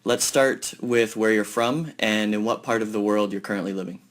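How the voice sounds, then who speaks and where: Low-voiced male podcast host. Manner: measured, announcer-like welcome and friendly questions. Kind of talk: scripted intro then casual interview.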